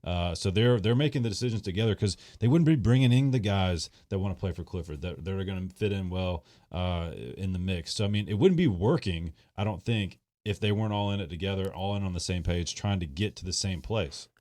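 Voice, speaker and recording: A man's voice: sits low, a little fast, hardly any pauses, darkish tone, no room sound, studio quality. A man co-hosting a sports podcast, talking casually through his opinions.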